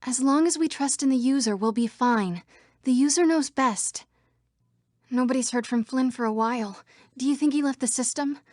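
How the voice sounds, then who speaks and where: Here is a young woman playing an earnest citizen program from a digital world in a video game. The voice is fairly high and very dry. Each line is crisp and dramatic. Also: normal speed, darkish tone, no room sound, close to an everyday voice.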